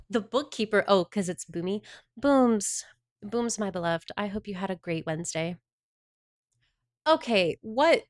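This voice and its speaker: A woman's voice: medium pitch, lively intonation, unhurried, long pauses, dry recording, clean studio sound. A woman from the Southern United States chatting casually on a livestream, rambling and answering her viewers' chat messages.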